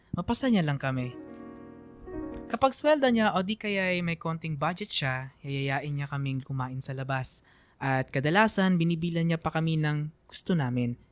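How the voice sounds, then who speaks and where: Low-voiced woman narrating over piano music. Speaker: radio DJ and storyteller. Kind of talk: scripted sentimental story narration for radio. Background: piano music under the voice.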